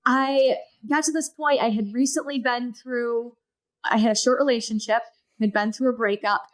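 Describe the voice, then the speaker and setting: A woman in her thirties speaking as a podcast guest, her voice high and bright. She tells her story earnestly and with animation, often quoting her own past thoughts aloud. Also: steady tone, moderate pace. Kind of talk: personal storytelling in conversation.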